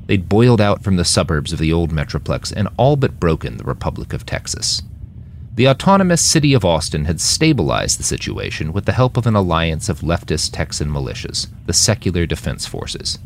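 A man reading scripted fiction narration in an expressive, dramatic storytelling manner. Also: deep voice, brisk, a little hiss or hum. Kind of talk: scripted audiobook-style narration of a dystopian war novel.

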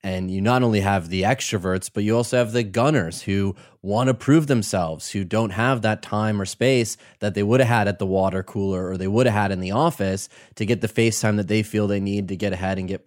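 Low-voiced male podcast host. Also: darkish tone. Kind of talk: podcast interview.

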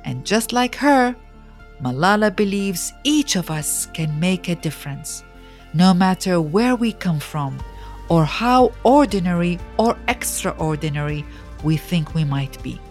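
A woman reading a scripted storybook biography as narrator, in a measured, expressive way with dramatic pauses. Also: lowish voice, soft background music.

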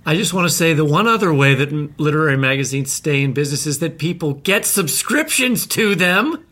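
A man co-hosting a literary podcast and talking with a guest in an animated, emphatic way. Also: on the high side, fairly quick. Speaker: podcast co-host. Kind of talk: interview conversation.

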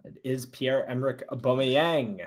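A man's voice: mid-range voice, fairly even intonation, normal speed. A man reading quiz questions in a casual podcast, encouraging his co-host and offering clues. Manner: upbeat, encouraging, gives clues.

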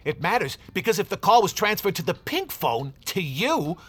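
A gruff male boss, scornful and quick to insult. His voice is high, sharp and husky, with animated swings. It is audio drama dialogue. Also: full character voice. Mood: irritated, scornful. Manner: scornful, blustering.

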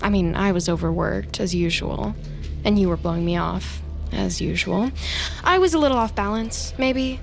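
A woman's voice: medium pitch, moderate pace, creaky, soft background music, lightly acted. A woman playing a flippant young office worker who is a killer, chatty and slangy, in an audio drama. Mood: wry storytelling. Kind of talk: conversational audio drama acting and first-person narration.

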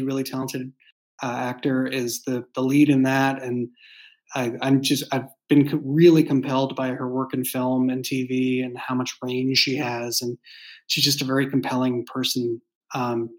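A man in a casual podcast discussion, speaking as a creator and writer in a halting way, searching for words. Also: mid-range voice, low-quality recording. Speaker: audio drama creator and writer.

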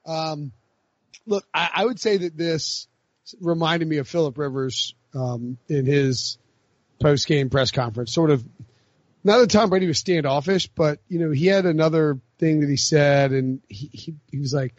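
A man's voice: fairly high pitch, normal speed, no echo. A man hosting a casual sports talk podcast, rambling with lots of filler words. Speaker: podcast host. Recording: dry lo-fi podcast mic.

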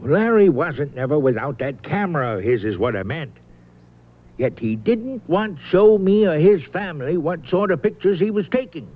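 Disapproving middle-aged dad, folksy n blunt, highish voice, muffled old radio. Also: male voice, very animated, normal speed. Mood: indignant, blunt. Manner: folksy ungrammatical speech, blunt. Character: disapproving, opinionated father of a murdered son. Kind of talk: 1970s radio drama acting. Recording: narrow, muffled vintage radio sound.

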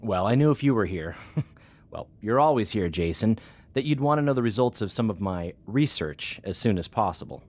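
Eager analyst, lowish pitch, formal thorough briefing, deferential, audio drama. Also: male voice, animated, normal speed, a little mellow. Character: eager, deferential male intelligence analyst reporting to superior.

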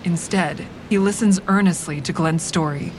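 An actress playing a wry, hard-edged woman who narrates her own story in a frank, deliberate dramatic style. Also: a little mellow, close to an everyday voice. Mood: watchful, reflective. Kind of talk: scripted first-person dramatic narration. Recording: clear rumbling traffic noise behind.

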